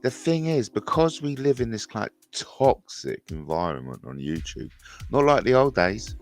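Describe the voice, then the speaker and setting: A man's voice: medium pitch, big pitch swings, normal speed, faint music behind. A man in his fifties hosting a rambling, jokey livestream chat, repeating himself and mentioning his speech impediment. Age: 50s. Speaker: livestream host.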